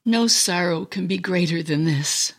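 A woman's voice: on the low side, unhurried. A woman voicing a devout, adoring young mother, earnest and heartfelt, in a dramatic story reading. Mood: grieving.

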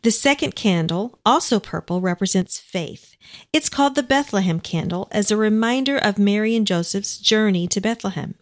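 Female radio co-host, medium register, measured and orderly explainer for a faith programme. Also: medium pitch.

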